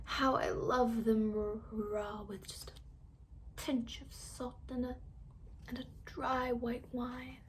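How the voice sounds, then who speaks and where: A woman's voice: a little high, flat delivery, takes it slowly, slight background noise. A woman voicing a sly, suffering male fox in a children's story, pleading and dramatic. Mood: dreamy, scheming.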